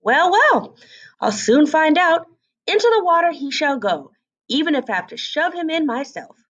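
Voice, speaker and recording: A woman's voice: sits high, sing-song, normal speed, a bit bright, distant mic, lo-fi sound, voice pushed up. A woman voicing a proud, weary, bossy mother duck in a storybook reading, exclaiming and chatting as she instructs. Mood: doubtful, determined.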